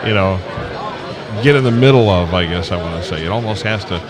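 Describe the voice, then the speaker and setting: A man's voice: low-pitched, a little fast, music in the background. A man with a Tennessee Southern accent hosting a casual live interview, folksy and rambling as he searches for his words. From Tennessee, United States. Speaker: radio show host. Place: busy convention event. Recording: busy convention crowd noise behind. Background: crowd chatter.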